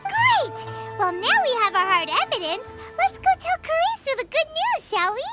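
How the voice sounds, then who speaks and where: A woman voicing a tiny floating female companion in a game, blunt, bossy and excitable, who speaks of herself in the third person. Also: very high voice, normal speed, heavily acted. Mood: cheerful. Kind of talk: video game cutscene dialogue. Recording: game cutscene with loud music behind.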